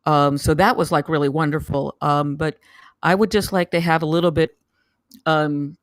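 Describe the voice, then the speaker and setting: A person giving casual spoken feedback on a script, haltingly, often repeating words and phrases. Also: mid-range voice, steady tone, no room sound, clean studio sound. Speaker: table read participant giving script feedback.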